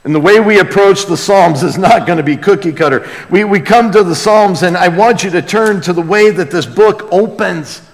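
American male pastor, slightly high-voiced, earnest, emphatic conversational sermon, long hall reverb. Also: moderate pace, few pauses. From United States. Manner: earnest, emphatic, dropping to hushed asides, rhetorical questions. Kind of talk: sermon, conversational Bible teaching.

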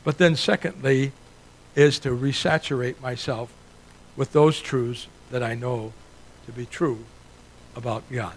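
A man's voice: medium pitch, a little slow, long pauses, rough recording. An older man born in Italy, preaching a sermon to a church in an earnest, emphatic way, building points through repeated lists. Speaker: guest preacher.

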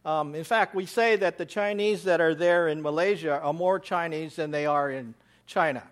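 An American missionary giving a church slide presentation, rambling with many fillers and restarts. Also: male voice, a little high, moderate pace. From United States.

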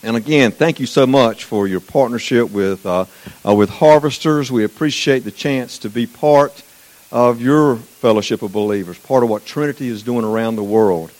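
A man's voice: medium pitch, normal speed, a little mellow. A man from Eastern North Carolina with a Southern accent, preaching a folksy sermon as a guest missions speaker and quizzing the congregation. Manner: folksy, chatty, asks the congregation questions. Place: church sanctuary.

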